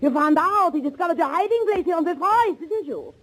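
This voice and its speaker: An actress playing a vengeful, bereaved bride with steely intensity, speaking in melodramatic, deliberate 1940s radio thriller style. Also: female voice, very high-pitched, lively intonation. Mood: cold, triumphant. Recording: old 1940s radio recording.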